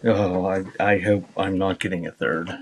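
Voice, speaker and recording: A man's voice: deep voice, steady tone, moderate pace. A man hosting a casual livestream, talking in a rambling, offhand way between asides and items he reads out. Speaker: livestream auction host. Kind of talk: casual livestream chat while sorting cassettes. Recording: clearly noisy background, small clicks.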